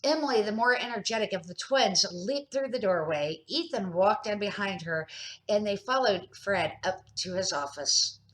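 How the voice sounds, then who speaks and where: A woman's voice: medium pitch, lively intonation, moderate pace, low-quality recording. An older woman, a grandmother, reading a children's storybook aloud as an amateur narrator, with expression and the odd small stumble.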